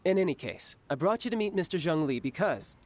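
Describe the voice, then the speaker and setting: An actor playing a young, suave, slyly playful schemer in a video game, breezy, teasing and knowing. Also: male voice, on the high side, lively intonation, fairly quick, darkish tone, natural voice.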